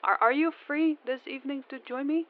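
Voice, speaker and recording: A woman's voice: high-pitched, fairly even intonation, fairly quick, narrow, tinny audio, a little hiss or hum, natural voice. A woman voicing a nervous, polite young woman who stammers a little in an audio roleplay. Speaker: voice actor, channel host.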